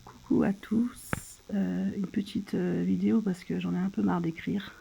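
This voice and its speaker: A French woman speaking casually and hesitantly, as in a personal video diary, pausing and trailing off between phrases. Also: medium pitch, steady tone, vocal fry, warm tone, slight background noise, poor audio. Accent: French, from France. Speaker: vlogger documenting own surgery stay. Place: hospital room.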